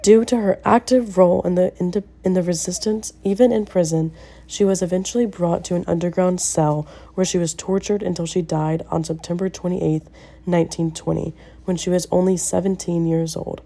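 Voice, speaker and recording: A young woman reading a prepared film review and historical summary, slowing down carefully on names. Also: fairly low pitch, fairly even intonation, vocal fry, warm tone. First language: English. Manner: careful, slowed delivery on names. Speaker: student presenter. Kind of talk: scripted film review narration.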